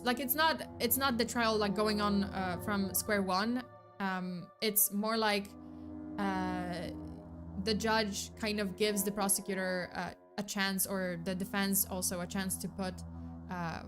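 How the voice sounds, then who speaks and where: A young woman tells a true crime case in loose, rambling chat, full of likes, uhs and self-corrections, with a casual swear here and there. Her voice is tired and fraying from long talking, and music plays clearly underneath. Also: mid-range voice. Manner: chatty, lots of fillers and restarts, swears casually. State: losing her voice. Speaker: true crime vtuber host. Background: background music.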